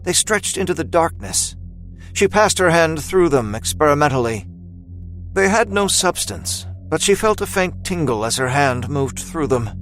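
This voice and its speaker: A man narrating a scripted dramatic story with deliberate, literary phrasing. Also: medium pitch.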